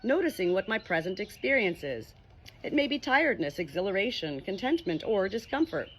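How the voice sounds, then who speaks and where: A woman reading a meditation book aloud as scripted narration, in a measured, expressive way. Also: medium pitch, husky. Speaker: audiobook reader.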